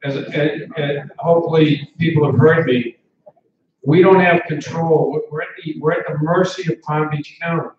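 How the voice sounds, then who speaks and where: Man running a town hall Q&A, firm and repetitive, slightly high, muffled and far off. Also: fairly even intonation, moderate pace, background noise. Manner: firm, repeats himself to hold the floor. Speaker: meeting presenter. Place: large indoor meeting hall. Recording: echoey hall, distant, dull sound.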